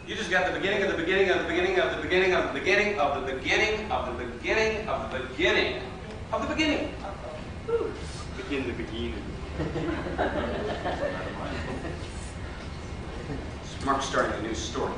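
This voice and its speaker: Guy running a workshop, kinda high voice, jokey, heard from far off in a big echoey room. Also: animated, measured pace, pauses often, heavy background noise. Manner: playful storyteller, teases audience members. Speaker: workshop leader. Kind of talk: live workshop talk with jokes. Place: large hotel meeting room.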